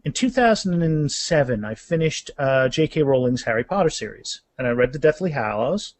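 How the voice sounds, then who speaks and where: A middle-aged man speaking casually as an author guest in an interview, animated and often repeating phrases as he goes. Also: mid-range voice, normal speed, no room sound.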